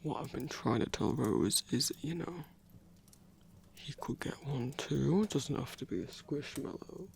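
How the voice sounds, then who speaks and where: Man acting a creaky, bashful werewolf boyfriend. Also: medium pitch, lively intonation, in a whisper. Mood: bashful, fond. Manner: hesitant, halting, self-conscious. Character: grumpy, bashful, affectionate male werewolf boyfriend. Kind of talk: intimate ASMR roleplay acting. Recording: faint noise, older home recording.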